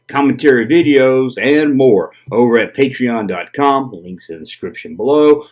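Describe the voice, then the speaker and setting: A man hosting a collector video, talking casually and joking about odd vintage toys; his first language is Japanese. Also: medium pitch. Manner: animated, joking, chatty. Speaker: toy collector channel host.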